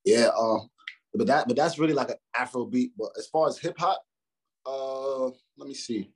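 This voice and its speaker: Husky young guy in his 20s, rapper talking loose, lots of "you know what i'm saying". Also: mid-range voice, moderate pace, a little mellow. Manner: loose, slangy, many fillers and restarts. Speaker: rapper guest. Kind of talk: casual interview chat.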